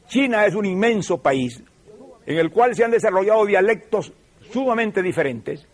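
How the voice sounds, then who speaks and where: Older Venezuelan man, slightly high voice. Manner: measured, professorial, emphatic. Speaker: TV show host. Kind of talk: televised lecture.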